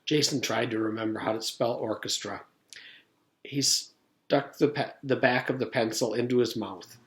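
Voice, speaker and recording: A man reads a children's storybook aloud at a middle pitch. The delivery is that of a teacher narrating to a class. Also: normal speed. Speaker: teacher reading aloud to pupils.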